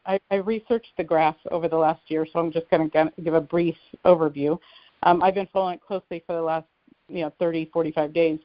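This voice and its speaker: Female caller, lowish pitch, earnest explainer, conversational, on a dry, slightly muffled conference line. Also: no room sound. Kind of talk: casual explanatory call-in talk. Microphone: telephone conference line.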